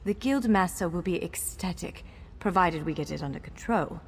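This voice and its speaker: A woman's voice: lowish voice, expressive, moderate pace, husky. A woman playing a courteous, wry elf scholar guiding someone in a video game, articulate and formal yet playful. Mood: wry, informative. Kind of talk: video game character dialogue. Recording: long hall reverb over music.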